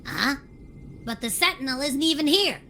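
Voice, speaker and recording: Small grumpy creature, high-pitched, swooping intonation, whiny, slangy, video game mascot, faint background ambience. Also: female voice, lively intonation, moderate pace, bright, forceful tone, slight background noise, heavily acted. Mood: surprised, puzzled. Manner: brash, whiny, slangy complaining. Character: small childlike grumpy, mouthy Echo creature companion. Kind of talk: video game character acting.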